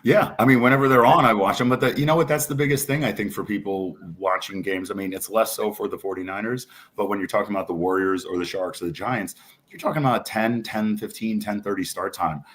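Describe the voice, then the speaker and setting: A man's voice: on the low side, fast, fairly bright tone. A man from New York City chatting casually as an interview guest, enthusiastic and rambling as he tells anecdotes. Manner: enthusiastic, rambling, frequent "you know". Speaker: bar owner, podcast guest.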